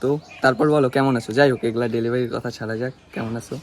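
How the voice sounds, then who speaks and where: A young man talks quickly in a playful, teasing way, like a casual prank caller. His pitch sits in the middle range, and there is clear noise behind him. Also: level tone. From West Bengal, India. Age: young adult. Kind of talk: casual teasing phone chat.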